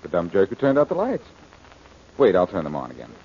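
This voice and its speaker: A wisecracking 1940s radio sleuth with a lowish, very lively voice. He is glib and sure of himself, heard through a muffled old recording with faint noise. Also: fast. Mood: cocky, teasing. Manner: glib, teasing. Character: cocky, wisecracking male amateur sleuth, cool and quick-witted.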